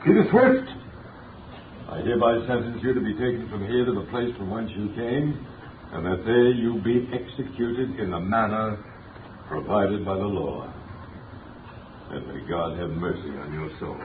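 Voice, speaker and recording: An actor playing a stern, unshakable judge in a 1930s radio drama, formal, declamatory and imperious. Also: male voice, fairly low pitch, lively intonation, normal speed, slight background noise, lightly acted. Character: stern, unshakable male judge passing sentence. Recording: distant echoey tinny 1930s radio.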